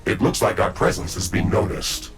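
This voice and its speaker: An actor playing a stern, by-the-book AI mech suit in a video game, talking in a formal, measured, procedural manner. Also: male voice, deep voice, very animated, soft background music. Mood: dutiful briefing. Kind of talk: scripted video game voice acting.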